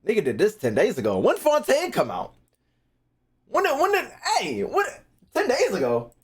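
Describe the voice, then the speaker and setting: Male streamer, American, higher-than-average voice with very lively swings in pitch; brash, sweary, repetitive banter with chat. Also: moderate pace, slightly rough. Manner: repeats phrases for emphasis. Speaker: streamer hosting a reaction video. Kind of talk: casual livestream chat to viewers.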